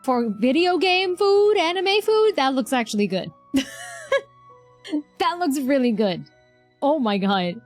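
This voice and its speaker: Female game streamer, highish lively voice, casual and expressive live commentary. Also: takes it slowly, long pauses. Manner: expressive, exclamatory, informal. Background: game music.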